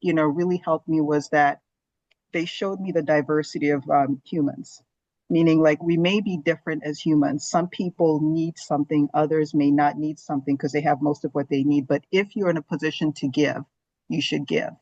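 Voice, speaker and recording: A woman's voice: low-pitched, steady tone, normal speed, a little mellow, no echo, highs cut off. Give me a reflective woman from Zambia as an interview guest, speaking earnestly in a dry, close recording. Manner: earnest, reflective, many fillers. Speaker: guest, gastroenterologist. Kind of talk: casual interview talk.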